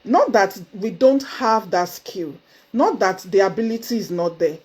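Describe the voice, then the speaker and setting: A Nigerian-accented woman sits before the camera and talks straight to her viewers in an earnest, motivational tone. She drives her points home with emphatic repetition and sudden high exclamations. Her voice sits at an ordinary middle pitch for a woman. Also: a little slow, a little mellow, husky. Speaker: motivational speaker. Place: indoors, seated before camera.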